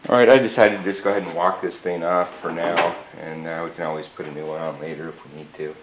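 A man's voice: low-pitched, steady tone. A man working as a mechanic, talking through an engine job step by step in a garage workshop, with lots of filler "uh"s. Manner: unhurried, many filler "uh"s, explains step by step. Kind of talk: garage how-to narration. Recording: echoey garage with background noise.

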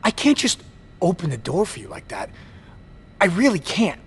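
A man's voice: high-pitched, wildly swooping pitch, normal speed, breathy edge, exaggerated voice. An actor voicing a nervous, servile vampire garage attendant in a video game, pleading and evasive in his manner. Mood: scared, pleading. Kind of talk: video game cutscene acting.

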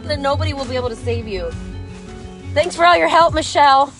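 Flustered high-voiced woman, laughing. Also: a little fast, over music. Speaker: mother vlogging. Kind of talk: casual selfie vlog. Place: inside a parked truck, under an open umbrella. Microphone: phone held at arm's length.

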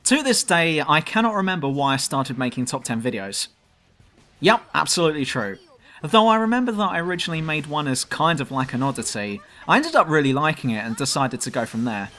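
A southern English man reads his scripted commentary in a dry, self-mocking way. His voice is on the higher side for a man, and his pitch jumps about a great deal. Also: sing-song, fairly quick, sharp tone. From southern England. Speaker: YouTube gaming channel host. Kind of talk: scripted commentary over gameplay.

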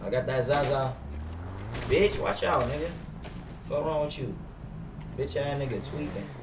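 A man's voice: mid-range voice, a little slow, recorded from a distance, background noise. Roomy-sounding young man, slangy streamer. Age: young adult. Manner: slangy, street-hustler talk. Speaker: game streamer. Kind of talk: casual in-character GTA roleplay chat. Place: indoors at a gaming setup. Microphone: headset.

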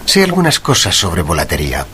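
An actor playing a shrewd, courteous and confident gentleman detective in a period mystery drama, articulate and composed. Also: deep voice, huge pitch swings, brisk, slight background noise, exaggerated voice. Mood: firm, goading.

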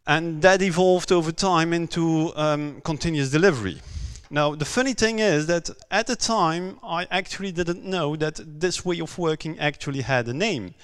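A man from Belgium, for whom English is not his first language, giving a conference talk on a stage with many pauses, "um"s and repeated words. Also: fairly high pitch, a bit bright, clear, resonant tone. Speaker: conference speaker.

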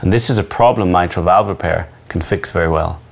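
A middle-aged man giving a measured, scripted medical explanation as a surgeon and narrator. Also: sits low, gravelly.

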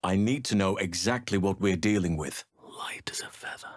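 An actor playing a burly middle-aged male elf druid in a fantasy game, earnest and gentle, with measured, formal phrasing. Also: low voice, no echo, clean studio sound. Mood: hushed. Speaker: video game character actor.

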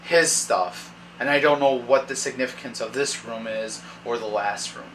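A man's voice: mid-range voice, a bit bright, a little hiss or hum, poor audio. Young man running a tabletop game. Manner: halting, thinking aloud, reading from a module. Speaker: dungeon master. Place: dim home kitchen at a table. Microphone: far camera.

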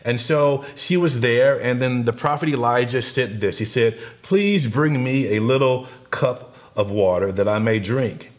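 Middle-aged pastor preaching from his desk. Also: medium pitch. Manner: earnest, speeds up and slows down for emphasis, gestures while talking. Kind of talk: sermon. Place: small church office with posters, indoors.

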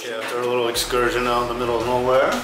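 A man's voice: medium pitch, completely flat delivery, racing, over loud music, poor audio. Easygoing man chatting outdoors. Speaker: fellow sailor, friend of the host. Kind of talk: casual on-camera chat.

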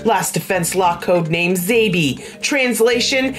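A woman's voice: lowish voice, slow pace. A woman co-hosting a video game show, bantering casually in a silly, quippy way with some swearing. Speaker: co-host of a gaming show. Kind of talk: casual let's-play banter. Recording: game music behind. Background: video game music.

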